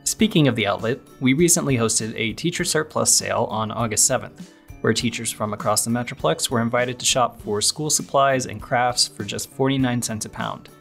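A man's voice: medium pitch, normal speed, gravelly, faint music behind. A man hosting a podcast, delivering scripted narration. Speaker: podcast host.

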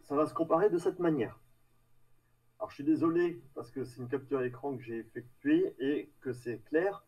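A man giving a live technical presentation in a halting, hesitant way, trailing off between phrases. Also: a little high, darkish tone, slightly rough. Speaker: tech meetup presenter. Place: online video meeting with screen share. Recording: distant mic on online call.

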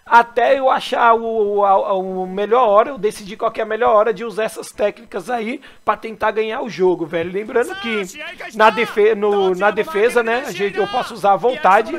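A hoarse man with a Brazilian Portuguese accent, commenting excitedly on his own soccer game and calling out special moves. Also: sits high, moderate pace. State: hoarse from a cold. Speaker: gaming YouTuber. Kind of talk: let's play commentary. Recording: game music behind. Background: game music.

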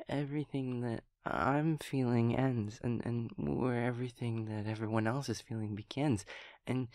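Man playing creaky-voiced, stammering, childlike male daemon. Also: medium pitch, a little mellow, no echo, studio quality, close to an everyday voice. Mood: hesitant, earnest. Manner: stammering, repeating words, breaking into giggles. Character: childlike, sweet, scatterbrained young male empathy daemon friend. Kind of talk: intimate audio roleplay monologue.

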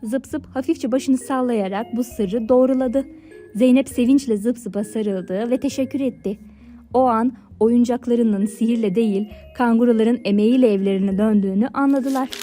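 A woman narrating a scripted fairy tale for children in a clear storybook manner. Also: a little high, dark tone. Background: background music.

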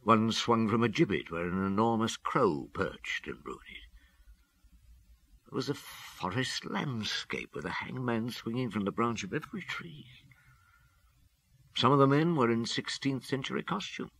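A man acting as a brooding middle-aged police detective, narrating a radio drama in a measured, dramatic way. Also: mid-range voice, expressive, creaky, fairly bright tone, slightly rough, no room sound, heavily acted. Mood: grim, brooding.

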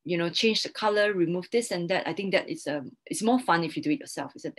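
A young woman explains things as a webinar expert, halting and repeating words as she goes. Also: fairly low pitch. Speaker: interior design expert guest. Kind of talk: webinar question-and-answer, explaining. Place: small indoor room, video call.

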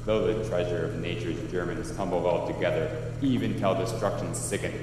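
A man's voice: low-pitched, fairly even intonation, moderate pace, natural voice. A man playing an imperious, haunted usurper king in a radio play, declaiming intense verse. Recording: echoing hall, noisy background.

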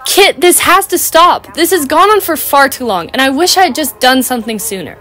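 A woman's voice: high-pitched, lively intonation, fairly quick, bright, forceful tone, faint music behind. A woman playing an exasperated, bossy, no-nonsense schoolgirl in a doll cartoon, scolding bluntly.

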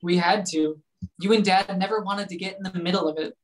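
A woman's voice: on the low side, fairly even intonation, brisk, natural voice, rough recording. A young woman playing a dejected, wry grown-up son in a play reading over a video call. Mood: dejected, resigned. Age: young adult. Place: room at home, indoors.

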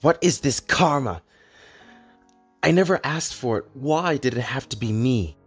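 A man voicing a brooding, lovelorn immortal in an animated fantasy, with an earnest, melodramatic delivery. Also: medium pitch, a little slow, breathy edge, faint music behind. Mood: anguished, pleading. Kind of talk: dramatic animated fantasy dialogue.

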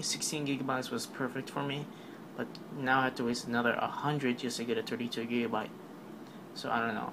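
A man's voice: medium pitch, noisy background. A man giving a casual, rambling voice-over recap of a product keynote, full of fillers and offhand remarks. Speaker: tech video narrator.

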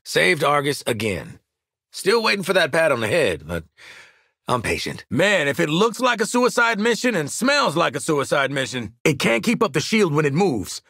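A hard-edged American mercenary sharpshooter for a video game, fairly high for a man and swinging widely in pitch. He is cocky, sardonic and blunt as he orders his squad around, in a dry, close recording. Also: gravelly, bright, forceful tone, breathy edge, no room sound, exaggerated voice. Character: hard-edged male mercenary marksman, sardonic, confident, bossy. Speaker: squad fighter. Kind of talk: video game combat voice lines.

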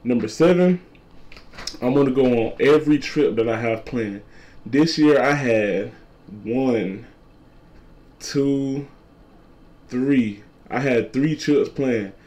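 A twenty-year-old man with a deep voice, a content creator talking casually to camera and repeating his points. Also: slow, long pauses, a little mellow. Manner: repetitive, laid-back slangy talk, repeats lines. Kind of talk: casual vlog talk to camera.

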